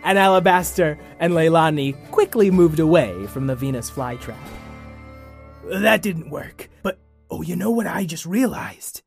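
Creaky-voiced, theatrical male storyteller. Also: on the high side, lively intonation, unhurried, soft background music. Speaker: host and storyteller. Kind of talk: improvised kids' adventure story, narrated and acted.

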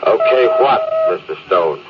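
An actor playing a stubborn, domineering spaceship captain in a brusque, commanding radio-drama style. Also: male voice, fairly low pitch, expressive, measured pace. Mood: angry, stern. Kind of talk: old-time radio drama acting. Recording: muffled, very noisy old radio recording.

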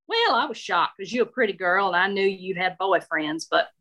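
A woman's voice: mid-range voice, expressive, moderate pace, sharp tone. A woman voicing an outrageous, nosy Southern lady in her seventies, effusive and full of endearments, in a personal story. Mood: confiding, thrilled. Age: 70s. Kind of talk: live-style personal storytelling.

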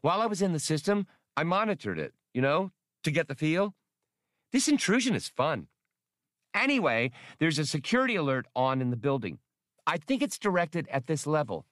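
A man voicing a small, eager, boyish computer in an audiobook, with a proud, chirpy, excitable manner. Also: on the high side, moderate pace, dry recording, studio quality, pitched above the performer's own voice. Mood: excited, blurting.

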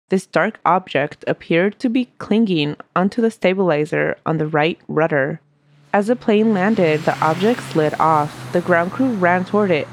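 A woman hosting a history podcast, reading a scripted story aloud while stuffy from a cold. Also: on the low side. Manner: steady storytelling narration. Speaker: podcast host.

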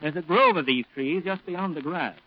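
Actor as measured civilian adviser, muffled radio. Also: male voice, on the high side, lightly acted. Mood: measured, helpful. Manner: measured, explanatory. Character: polite, knowledgeable civilian plantation supervisor advising officers. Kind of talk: 1940s radio acting. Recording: dull old radio recording.

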